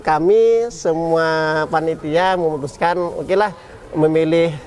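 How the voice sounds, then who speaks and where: A man's voice: a little high, fairly even intonation, takes it slowly, darkish tone, a little hiss or hum. Man answering questions in conversation. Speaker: mosque committee representative, interviewee.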